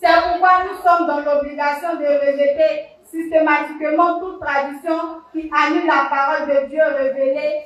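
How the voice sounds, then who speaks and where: Woman reader, fairly high voice, emphatic reading, far from the mic in a reverberant hall. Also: steady tone, moderate pace, few pauses, highs cut off. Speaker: scripture reader. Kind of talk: reading aloud in a church service.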